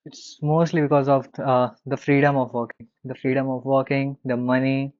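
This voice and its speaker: A man's voice: medium pitch, little pitch movement, a little mellow, dry recording, muffled recording. Hesitant Indian man on a call. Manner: hesitant, halting with many fillers. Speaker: consulting client. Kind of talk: casual video call. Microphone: video call.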